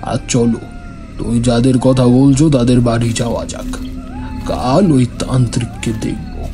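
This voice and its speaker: Man acting a commanding holy man, mellow voice. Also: medium pitch, wildly swooping pitch, unhurried, slight background noise. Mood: stern, admonishing. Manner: admonishing, authoritative, formal. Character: commanding wandering tantric holy man, a stern rescuer. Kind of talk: Bengali audio horror drama acting.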